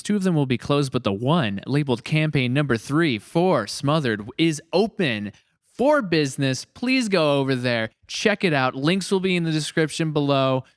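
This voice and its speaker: A man's voice: fairly high pitch, smooth, no echo, studio quality. A man hosting a casual podcast, joking around with guests and plugging a crowdfunding campaign. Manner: joking, promotional. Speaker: podcast host. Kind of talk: casual chat.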